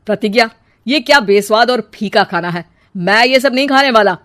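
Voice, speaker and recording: A woman's voice: a little high, animated, normal speed, fairly bright tone, dry recording. Lady doing a grumpy young husband in a cartoon, blunt and scolding, dry sound. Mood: annoyed. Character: irritable young husband, a critical, fault-finding man.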